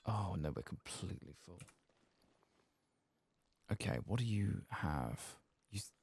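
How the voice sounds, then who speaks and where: Male ASMR game streamer, extremely low gravelly register, husky, murmured play-by-play to himself. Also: expressive, measured pace, a little mellow. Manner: low-key, murmuring to himself while playing. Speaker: gaming streamer. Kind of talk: soft-spoken ASMR game playthrough commentary. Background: keyboard typing and mouse clicks.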